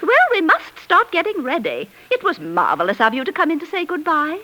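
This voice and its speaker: A woman playing a bossy, fussy British society wife, brisk and theatrical in the style of forties radio drama. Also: high-pitched, wildly swooping pitch, pushed and bright, exaggerated voice, poor audio. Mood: gushing, assured. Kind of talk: 1940s radio acting.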